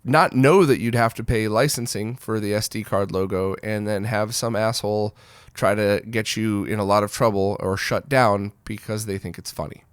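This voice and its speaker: Man, smooth clear voice, dry close sound, blunt informal news commentary, opinionated host. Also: lowish voice, steady tone, moderate pace, no room sound. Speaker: host of a retro gaming news show. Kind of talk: casual news roundup commentary to viewers.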